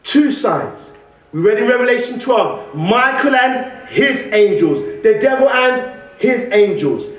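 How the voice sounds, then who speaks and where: A man's voice: very high-pitched, unhurried, soft background music. A young man from England with a West Midlands accent, preaching a sermon and calling out questions to the congregation. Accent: West Midlands English. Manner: animated, calls out questions to the congregation; gestures broadly at the pulpit. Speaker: guest preacher. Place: large church hall, indoors. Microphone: stand mic at pulpit, far camera. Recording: roomy church echo, mic at a distance.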